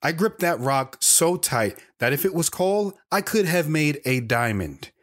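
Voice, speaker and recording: A man's voice: a little high, big pitch swings, measured pace. A man playing a wanderer recounting his hard life in first-person audio drama narration. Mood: reflective.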